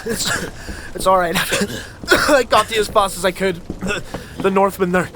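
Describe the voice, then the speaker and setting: A man playing a frantic comrade in a dark fantasy audio drama, urgent and breathlessly reassuring, with noise behind him. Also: high voice, fairly even intonation, a little slow. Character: frantic male comrade rescuing a friend under attack.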